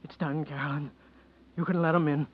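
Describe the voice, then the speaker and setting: Jittery miser in muffled old radio. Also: male voice, fairly high pitch, flat delivery, a little fast. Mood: panicked, hushed. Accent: American. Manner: stammering, rough-spoken, ungrammatical. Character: miserly, uneducated, nervous working-class husband. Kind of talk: 1940s-50s American radio drama acting. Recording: dull lo-fi vintage radio recording.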